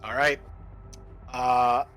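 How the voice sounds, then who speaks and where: A man's voice: mid-range voice, flat delivery, very slow. A man narrating a tabletop roleplaying game as game master, explaining things and addressing the players directly. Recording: music underneath the voice.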